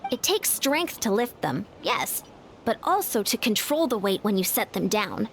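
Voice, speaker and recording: A woman voicing a calm female coach in a video game, speaking in a measured, instructive way. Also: mid-range voice, a little hiss or hum. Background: soft game music.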